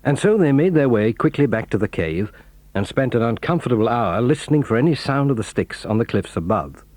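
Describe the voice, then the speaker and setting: A man narrating a children's story in a measured, suspenseful way. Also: mid-range voice, lively intonation, darkish tone, no echo.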